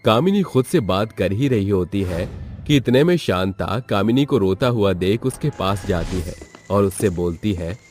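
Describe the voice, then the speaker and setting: A man's voice: lowish voice, animated, a little slow, darkish tone, faint music behind. A man narrating a scripted story as the storyteller.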